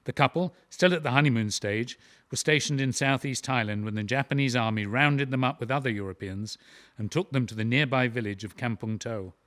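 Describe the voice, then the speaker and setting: An actor playing a serious narrator, delivering precise, scripted documentary-style narration in a wartime play. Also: male voice, medium pitch, a little fast, fairly bright tone, lightly acted.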